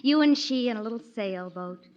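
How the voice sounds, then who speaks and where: A woman's voice: on the high side, expressive, moderate pace, a little mellow, close to an everyday voice. A woman playing a dry-witted photographer. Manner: dry, teasing. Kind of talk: 1940s radio acting. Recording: muffled old radio broadcast.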